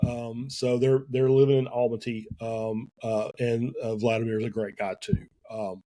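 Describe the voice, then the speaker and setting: A man with a Southern US accent chats as a guest over a call. He speaks in loose, halting phrases, full of fillers and restarts. His voice sits in an ordinary middle register. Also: mid-range voice, level tone, warm tone. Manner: halting, many fillers and restarts, chuckles often. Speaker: guest, sim racing team co-owner. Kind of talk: casual podcast interview.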